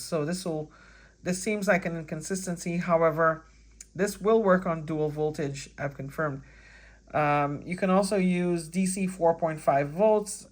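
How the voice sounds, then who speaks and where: An American woman giving a casual hands-on gadget review, thinking aloud in a halting way. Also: on the low side. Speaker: product reviewer.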